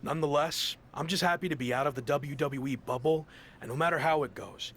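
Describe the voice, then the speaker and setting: An actor playing a weary, determined pro wrestler in a video game cutscene, speaking in a dry, matter-of-fact way. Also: male voice, a little high, brisk, fairly bright tone, a little hiss or hum.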